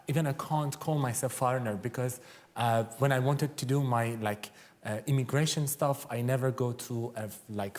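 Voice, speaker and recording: Halting Iranian-accented man, medium pitch. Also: darkish tone. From Iran. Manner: halting, hesitant non-native English. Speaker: panellist, journalist. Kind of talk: personal account in panel discussion.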